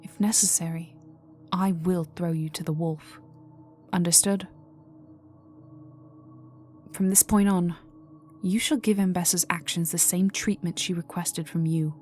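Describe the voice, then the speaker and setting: A woman acts out an icy vampire noblewoman bent on revenge. Her voice sits fairly low and moves very slowly, imperious and threatening, often slipping into a whisper. It is a dark, intimate ASMR roleplay with music underneath. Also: fairly even intonation, natural voice. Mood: stern, guarded. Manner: slow, menacing, imperious, formal, often dropping to a whisper. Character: cold vengeful aristocratic female vampire, young heiress in mourning.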